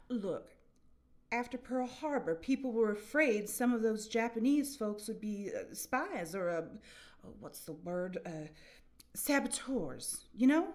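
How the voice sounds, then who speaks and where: A woman as a lively, high-voiced, defensive everywoman. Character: defensive everyday woman arguing her point. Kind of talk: scripted audio play, conversational.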